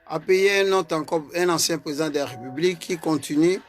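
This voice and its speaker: A man's voice: fairly high pitch, brisk, faint music behind. Earnest Congolese French-speaking man. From Congo (DRC). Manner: earnest, hesitant, repeats words. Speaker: political party vice-president. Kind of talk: political commentary monologue.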